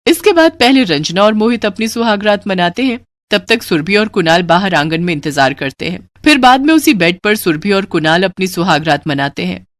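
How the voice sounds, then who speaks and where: Female storyteller for animated tales, scripted narration, middle-register voice. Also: medium pitch, moderate pace, hardly any pauses, dry recording.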